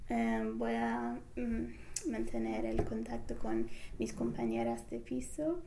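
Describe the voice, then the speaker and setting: Slow, halting woman with a gravelly voice. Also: mid-range voice, very animated, noisy background. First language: English. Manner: many fillers, searches for words. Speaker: interviewee, language learner back from a year abroad. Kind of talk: halting, hesitant answers.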